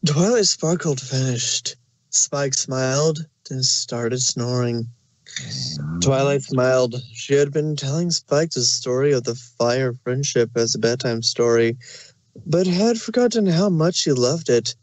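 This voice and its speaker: A man narrates scripted fan fiction in a medium-pitched voice. The sound is dry and close, with no room around it. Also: unhurried, darkish tone, no echo, rough recording.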